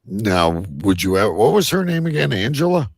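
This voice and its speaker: A man's voice: lowish voice, sing-song, fairly quick, dry recording, clean studio sound. A man hosting a casual live talk show, teasing and prodding his guests with joking questions.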